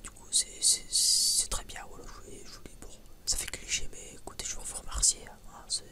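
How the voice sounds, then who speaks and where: A man whispering a casual, rambling ASMR chat, informal and sweary, hesitant and often stammering over repeated words. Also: a little fast. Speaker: ASMR video creator.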